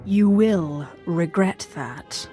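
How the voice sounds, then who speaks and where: Proud dark sith sorceress from a video game, haughty n threatening, bit of music behind. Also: female voice, on the low side, extremely slow. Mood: menacing. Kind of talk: scripted video game dialogue.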